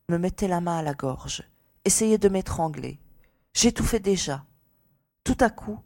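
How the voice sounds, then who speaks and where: A woman playing a frightened but composed young lady giving testimony in a dramatised audiobook, with a breathy voice. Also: on the low side, darkish tone. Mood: shaken.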